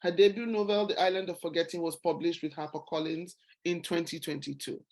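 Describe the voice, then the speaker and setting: A woman founder hosting a book club over a video call, speaking in a friendly, relaxed way. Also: lowish voice, fairly quick.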